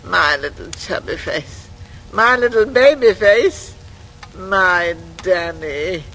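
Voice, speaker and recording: A woman's voice: lowish voice, expressive, slow, a bit bright, heavily acted. An actress playing a fussy, doting old invalid woman, plaintive and coaxing, in a 1940s radio drama. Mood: fussy, cosy. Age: older. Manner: plaintive, coaxing, self-pitying. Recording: noisy vintage radio recording.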